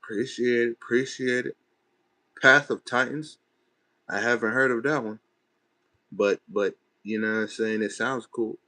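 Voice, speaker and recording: A man's voice: medium pitch, fairly even intonation, unhurried, long pauses, pushed and bright. A young man from Texas, chatting casually on a late-night livestream and answering viewers' comments in loose, slangy talk. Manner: laid-back, chatty, loose slangy phrasing. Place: bedroom at home.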